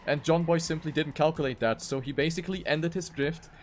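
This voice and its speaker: A man's voice: a little high, brisk, slight background noise. A man doing live esports commentary on a racing game, with dramatic, emphatic phrasing.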